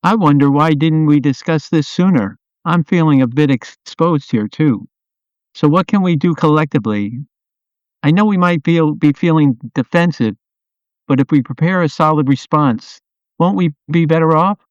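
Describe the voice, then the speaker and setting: A man acts out a concerned software product owner in an office radio play. His voice is clear and a little high, and he speaks earnestly, asking questions and seeking agreement. The audio is dry and slightly dull. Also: moderate pace, no room sound, lightly acted. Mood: conciliatory, uneasy. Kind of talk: amateur radio play dialogue.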